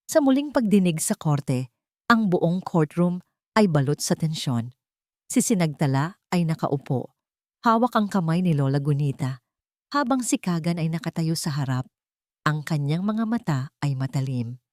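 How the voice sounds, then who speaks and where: A woman's voice: deep voice, expressive, normal speed, a little mellow, no room sound, clean studio sound. A woman narrator reading a scripted romance drama in a storytelling style.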